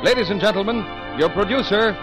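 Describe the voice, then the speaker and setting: Man, American accent, high voice, vintage radio announcing, old broadcast recording, music. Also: brisk.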